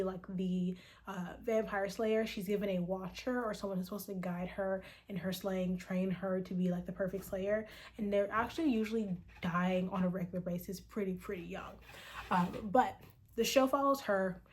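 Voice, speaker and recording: A woman in her late twenties chatting casually to camera in a personal vlog, rambling and swearing freely, sometimes dropping into a whisper. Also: mid-range voice. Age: 20s. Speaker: vlogger.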